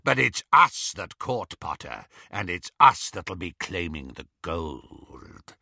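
Here is a man acting a menacing werewolf brute from a fantasy audiobook. He growls low and coarse, greedy for reward, with a rough, mock-polite turn of phrase. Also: big pitch swings, takes it slowly, bright, forceful tone, no echo, studio quality, exaggerated voice. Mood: menacing, grasping.

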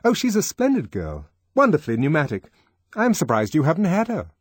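A man's voice: on the high side, huge pitch swings, fairly quick, slightly rough, no echo, studio quality, voice pushed up, exaggerated voice. A man voicing a smug, boastful young man gossiping about a woman. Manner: boastful, leering.

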